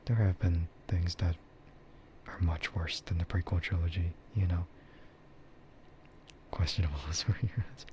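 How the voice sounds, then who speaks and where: A man streaming a casual, rambling ASMR chat in a soft, breathy voice that often slips into a whisper. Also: sits low, measured pace, pauses often, a little hiss or hum. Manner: rambling, laid-back. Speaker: streamer and VTuber host.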